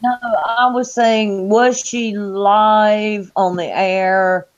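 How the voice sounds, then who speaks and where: A man in his fifties co-hosting an American talk show, speaking slowly and drawn out. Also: sits high, level tone, smooth. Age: 50s. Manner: slow and drawn out. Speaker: co-host. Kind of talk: casual chat. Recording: dull lo-fi sound.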